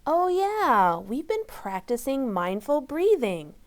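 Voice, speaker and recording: A woman's voice: sits high, very animated, slow pace, voice pushed up, full character voice. A woman voicing an eager, earnest young girl in a children's story, with a childlike manner.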